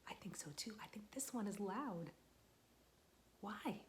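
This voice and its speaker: A young woman, a children's librarian, reading a picture book aloud to small children, gentle and patient, asking them questions and waiting for their answers. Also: medium pitch, lively intonation, unhurried, slight background noise.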